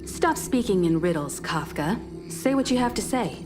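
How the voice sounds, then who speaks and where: Actress as an expressive, poised, stern woman leader. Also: mid-range voice, natural voice. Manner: formal, measured, dryly stern. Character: poised, firm, sardonic adult woman crew leader. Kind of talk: English video game voice acting. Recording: background music under the voice.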